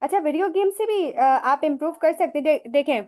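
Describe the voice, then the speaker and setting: Woman, high voice, casual live-session teacher, chatty and self-answering. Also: expressive, a little fast, a little mellow. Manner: chatty, explains with rhetorical questions and personal examples; mixes English phrases into her talk.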